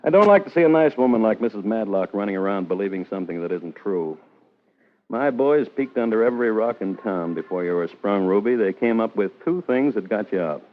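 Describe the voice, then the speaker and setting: A man's voice: fairly low pitch, expressive, fairly quick, natural voice. An actor playing a dogged American police captain in a 1940s radio drama, blunt and clipped as he interrogates. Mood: insistent, dry. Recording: tinny, narrow-band vintage radio recording.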